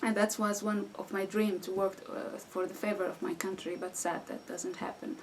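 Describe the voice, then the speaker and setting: A woman's voice: mid-range voice, little pitch movement. Syrian woman, 30s, stops and restarts a lot in english, mic kinda far off. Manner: halting non-native English with restarts and fillers. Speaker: guest. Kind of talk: conversational interview answers. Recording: distant mic with faint background noise.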